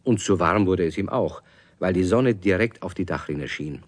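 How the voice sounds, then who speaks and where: A man's voice: low voice, normal speed. A man narrating a children's radio play as a scripted storyteller. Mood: storytelling.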